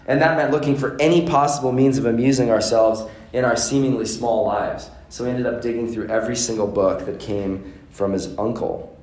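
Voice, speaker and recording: A man's voice: medium pitch, darkish tone. Middle-aged man, seminar speaker, earnest and emphatic, roomy echo. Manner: earnest, building to emphatic repetition. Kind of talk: workshop talk to parents. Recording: echoey room.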